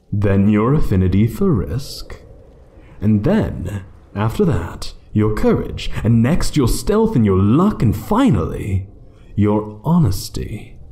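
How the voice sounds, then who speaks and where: A man voicing an ancient, playful yet menacing dragon in a fantasy ASMR roleplay, speaking theatrically and condescendingly, sometimes hushed. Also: fairly low pitch, full character voice. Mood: ominous, taunting. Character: ancient male dragon, playful, menacing hoard guardian toying with intruder.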